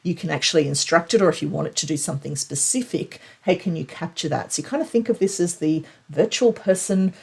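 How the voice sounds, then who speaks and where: A woman's voice: on the low side, fairly quick, creaky, few pauses, a little mellow, breathy edge. A woman presenting a conversational, explanatory recap of tech news, leaning on key points for emphasis.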